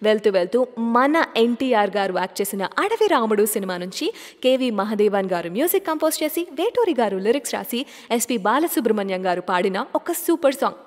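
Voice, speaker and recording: A woman's voice: a little high, big pitch swings, fast, big-room sound. A woman hosting a radio show, chatting casually and putting questions to her listeners. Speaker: radio host.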